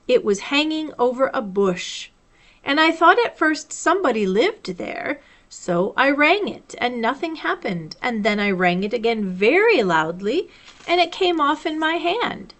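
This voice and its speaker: Woman reading as a pompous storybook owl, emphatic and slow. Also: high-pitched, big pitch swings, a bit bright, exaggerated voice. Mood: proud, chatty. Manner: emphatic, drawn-out proclamations. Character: pompous, long-winded male owl, self-assured.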